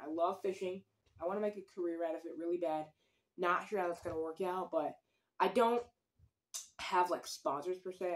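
A man's voice: high voice, poor audio. A young man chatting casually to a phone camera in a small indoor room, earnest and rambling, with lots of ums and likes. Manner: earnest, rambling, many fillers. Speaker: fishing vlogger. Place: small wooden room with many windows, indoors. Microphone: phone camera at desk distance.

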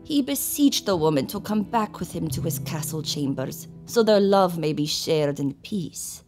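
A woman playing a solemn old servant of a vampire king, speaking in a formal, measured storytelling manner in a gothic audio drama. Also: on the low side, lively intonation, music in the background. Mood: solemn, wistful.

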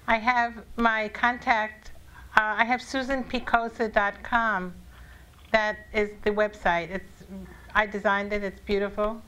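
A woman with a clear, smooth voice and a middle pitch. She talks casually as a guest author on a talk show, thinking aloud deliberately and stretching out her phrases. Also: flat delivery, a bit bright, dull audio.

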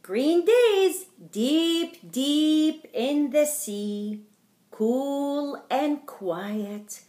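A middle-aged woman reading a picture book aloud to young children in a theatrical, deliberate way, as a storytime host. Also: high-pitched, lively intonation, warm tone. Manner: theatrical, slow and deliberate, addressing small children. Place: indoor wood-panelled living room. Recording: roomy, echoing home recording.

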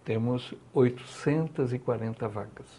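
A man, a guest on a studio talk show, explaining things in a slow, deliberate way. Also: medium pitch, warm tone, slight background noise. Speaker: guest, charity president. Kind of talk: interview answers. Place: TV studio set, indoors.

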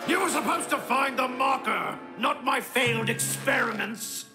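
A woman's voice: sits high, moderate pace. A woman voicing a grandiose, obsessive male mad scientist in a cartoon. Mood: angry. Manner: grandiose, theatrical. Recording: cartoon dialogue over music.

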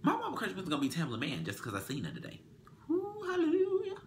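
A young man co-hosting a casual chat show, teasing with quick comebacks and often dropping to a murmur, recorded in a small bedroom. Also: high-pitched, wildly swooping pitch, slight background noise. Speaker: co-host.

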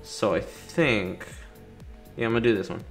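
A man's voice: on the low side, moderate pace, vocal fry. A man doing casual, playful gameplay commentary, chattering in slang and talking to the game's characters. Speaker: gaming YouTuber doing a playthrough. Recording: light game music behind. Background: video game music.

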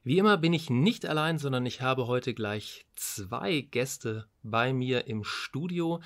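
Podcast host, male; friendly, curious interviewer. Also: mid-range voice. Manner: friendly, curious questioning with short backchannels.

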